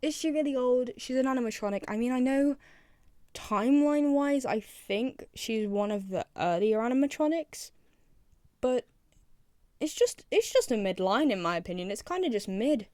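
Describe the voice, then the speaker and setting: A woman's voice: on the high side, lively intonation, moderate pace, mellow, no room sound, studio quality. A woman presenting casual fan commentary in an enthusiastic way, repeating phrases for emphasis. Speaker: video presenter.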